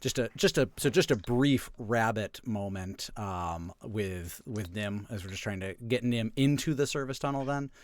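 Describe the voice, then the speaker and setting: A man's voice: on the low side, moderate pace, few pauses, dry recording. A man playing a tabletop role-playing game, talking casually as he improvises his character's actions.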